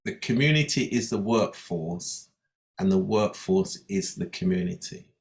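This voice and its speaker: Deep, gravelly male interviewer. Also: expressive, unhurried. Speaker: interviewer, host. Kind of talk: interview questions and remarks.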